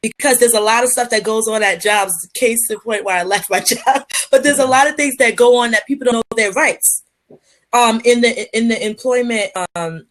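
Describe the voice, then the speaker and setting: A woman from Liberia talks as a guest on a podcast, earnest and motivational, sprinkling in fillers and restarting her sentences. Her voice sits a little high for a woman. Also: normal speed. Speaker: guest, public speaker. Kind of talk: podcast interview answers.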